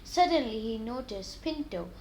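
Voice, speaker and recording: Female children's story reader, high-pitched, expressive and deliberate, scripted read-aloud delivery. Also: creaky, a little hiss or hum, low-quality recording.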